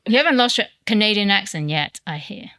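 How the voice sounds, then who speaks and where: A woman's voice: medium pitch, expressive, fairly quick, bright, forceful tone, dry recording, studio quality. A woman hosting a casual podcast, blunt and teasing, who swears freely as she chats with a friend. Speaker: podcast host. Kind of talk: casual chat.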